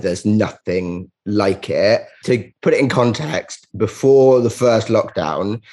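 A man's voice: low-pitched, darkish tone. A man, a comedian being interviewed, telling casual anecdotes in a candid, self-deprecating way. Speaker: stand-up comedian, interview guest.

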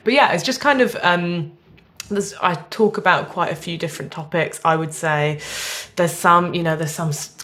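A woman's voice: sits low, a bit bright. A woman in her twenties, a comedian chatting casually in an interview with a wry, self-deprecating, rambling manner. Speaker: stand-up comedian being interviewed. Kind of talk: casual chat.

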